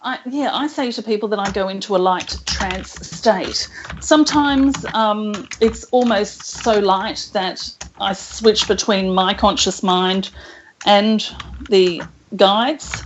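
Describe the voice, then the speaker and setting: A woman being interviewed as a spiritual channeler, speaking slowly and thoughtfully with soft laughs. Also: medium pitch, slight background noise. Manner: slow, thoughtful, laughs softly. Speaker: guest channeler. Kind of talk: casual interview chat. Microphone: internet call. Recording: muffled call audio.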